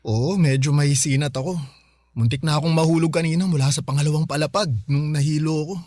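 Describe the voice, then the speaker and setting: Casting: actor as weary young Filipino dad, slightly high male voice, mild, unwell, dry radio drama sound. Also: brisk, darkish tone, dry recording, lightly acted. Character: tired, sickly young husband and father, mild-tempered. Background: faint music.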